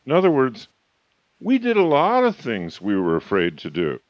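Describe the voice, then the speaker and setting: A man in his fifties or older giving a motivational talk as a coach and author, emphatic and slowing down hard on key points. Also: medium pitch, wildly swooping pitch, no room sound. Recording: slightly muffled, dry recording.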